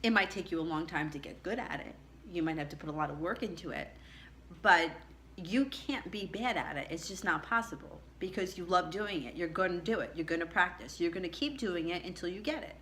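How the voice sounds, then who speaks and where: A middle-aged woman with a low contralto voice recounts her own story in a chatty, candid way, laughing often. Her tone is bright and forceful. A faint background ambience sits under her voice. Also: fairly quick, slight background noise. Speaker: vlogger. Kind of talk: personal storytelling to camera.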